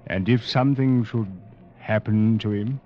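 An actor playing a gaunt, coldly courteous doctor in an old radio drama, speaking in a formal, measured, ominous way. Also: male voice, on the low side, lo-fi sound, close to an everyday voice. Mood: quietly menacing. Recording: band-limited, noisy vintage radio broadcast.

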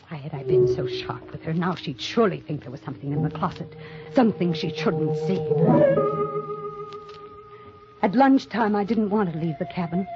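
A woman's voice: fairly low pitch, lightly acted. An actress playing a tense, secretive young woman, narrating and acting dramatically in a 1940s radio thriller. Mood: anxious. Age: young adult. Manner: dramatic, hushed asides with halting pauses. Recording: dull old radio recording with music.

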